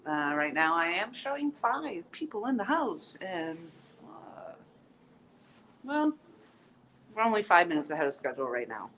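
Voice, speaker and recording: A woman's voice: fairly low pitch, sing-song, measured pace, long pauses, a bit bright. Woman, stuffy nose, sniffly, chatty live-stream host, outdoors on a handheld phone, faint background noise. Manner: chatty, upbeat, greets viewers by name, many ums. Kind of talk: casual live-stream chat. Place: outdoor castle courtyard.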